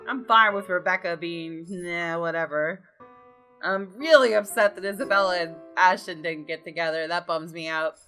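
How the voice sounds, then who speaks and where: A young woman with a slightly low voice talks through a game for her audience. She is informal and chatty, speaking as a channel host at a close mic. Music plays clearly behind her. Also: moderate pace, sharp tone, low-quality recording. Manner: informal, chatty asides to viewers. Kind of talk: casual let's play commentary with some read-aloud visual novel narration.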